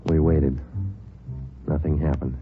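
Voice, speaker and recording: An actor playing a terse American police sergeant in a vintage radio drama, narrating his case in a clipped, deadpan way. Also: extremely low pitch, takes it slowly. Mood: businesslike. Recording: muffled old radio recording, music behind.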